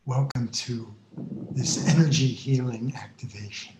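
Male meditation co-host, solemn and unhurried poetic delivery, measured pauses, echoing room sound. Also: mid-range voice, background noise. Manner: measured, reverent, with long pauses. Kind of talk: slow, solemn poetry recitation and meditation welcome.

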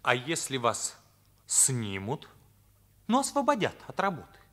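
A man's voice: mid-range voice, huge pitch swings, unhurried, fairly bright tone. An actor playing a troubled, sincere ninth-grade schoolboy in a radio drama, earnest, searching and hesitant in his delivery. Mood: challenging. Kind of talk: Soviet radio drama acting.

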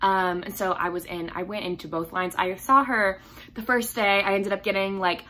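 A hoarse young woman in her twenties, chatting casually to camera about books at home, with lots of fillers and likes. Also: mid-range voice, fairly even intonation, normal speed, pushed and bright, poor audio. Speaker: book vlogger.

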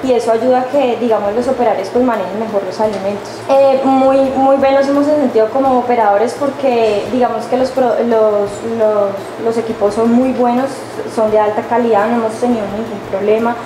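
Young Colombian woman, food service operator, halting testimonial, roomy noisy kitchen. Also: fairly high pitch, steady tone. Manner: hesitant, many fillers and restarts. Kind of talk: testimonial interview. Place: large industrial kitchen, indoors. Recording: echoey kitchen with noisy ambience.

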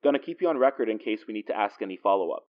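A man's voice: fairly low pitch, animated, racing, dull audio, lightly acted. A man playing a confident, wisecracking police detective in an audio drama, with a dry, teasing, natural delivery. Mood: brisk, dry.

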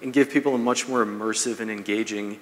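A man's voice: fairly low pitch, fairly even intonation, fast talker, slight background noise. Man presenting in a very echoey hall. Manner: conversational, explanatory, checks in with "right?". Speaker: presenter, marketing speaker. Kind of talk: business seminar talk to an audience. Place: large brick-walled event room, indoors. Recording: echoey hall, long reverb.

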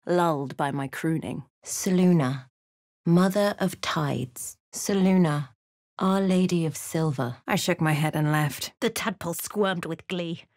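A woman voicing a solemn adventurer who narrates a fantasy game journey in a measured storytelling manner. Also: lowish voice, darkish tone, no echo, studio quality.

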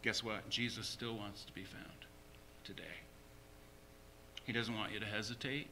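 A man's voice: on the low side, level tone, normal speed, pushed and bright, a little hiss or hum. A man preaching a sermon as a pastor, emphatically repeating short phrases.

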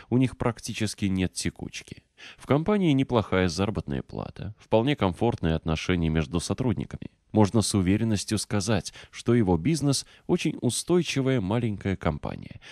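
A man's voice: low voice, a little mellow, no room sound, studio quality. A man reading a non-fiction audiobook as a scripted narration.

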